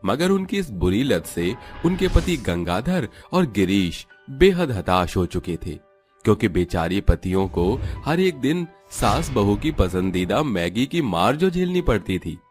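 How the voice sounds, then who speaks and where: A man narrates a scripted story. His voice is on the low side and very animated in its intonation. There is faint music behind him. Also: unhurried.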